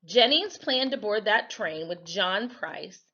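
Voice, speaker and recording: A woman reads a history story aloud like a teacher at storytime. Her pitch moves around a lot, giving the telling an animated, expressive feel. The recording is slightly muffled and lo-fi. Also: takes it slowly. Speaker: storyteller reading aloud to children.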